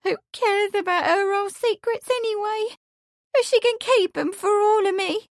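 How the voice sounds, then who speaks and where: A lovesick young housemaid, put on by a woman reading the story, pitched well above the narrator and full of tearful pleas and soft sighs. She is sweet on the surface yet quietly scheming. The sound is dry and close, with no room around it. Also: fairly even intonation, unhurried, no room sound. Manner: tearful, pleading, breathy sighs.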